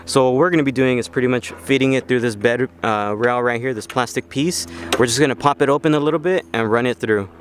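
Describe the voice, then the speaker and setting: A man's voice: mid-range voice, quick pace, few pauses, a little hiss or hum. A man hosting an informal how-to video, explaining things step by step in a casual way. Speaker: video host.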